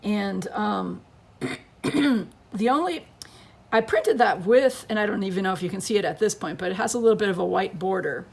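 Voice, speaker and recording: A woman's voice: medium pitch, expressive, normal speed, gravelly, a little mellow, husky. A woman who is losing her voice gives a chatty scrapbooking tutorial, thinking aloud as she works at her crafting table.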